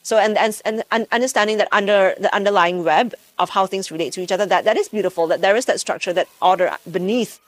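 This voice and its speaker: A woman's voice: medium pitch, fast talker, hardly any pauses, dry recording. A woman from Malaysia, a physics professor, answering interview questions thoughtfully, often restarting her sentences. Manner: thoughtful, many restarts and fillers.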